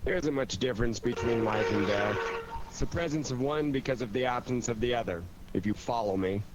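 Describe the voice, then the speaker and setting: An aloof, philosophical suspect from a radio mystery , played by a man. He is dry and guarded at a middling male pitch, over a slightly noisy recording. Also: a little fast, muffled recording, natural voice. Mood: cool, guarded. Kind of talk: radio drama acting.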